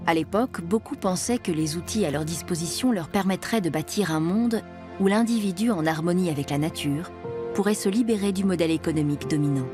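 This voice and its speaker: Low-voiced woman narrating a documentary. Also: a little fast. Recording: music bed under voice.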